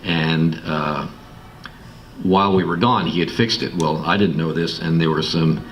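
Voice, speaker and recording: A middle-aged man from Massachusetts with an American accent, telling a personal story to listeners in an informal, halting, reflective way. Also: a bass voice, fairly even intonation. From Massachusetts, United States. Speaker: guest speaker telling his life story. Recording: distant mic, clearly noisy room recording.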